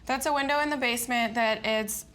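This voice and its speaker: Bright, high-voiced American woman testifying. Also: steady tone, brisk. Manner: assertive, explanatory. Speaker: plaintiff in TV court, tenant. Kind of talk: courtroom testimony. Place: TV courtroom studio.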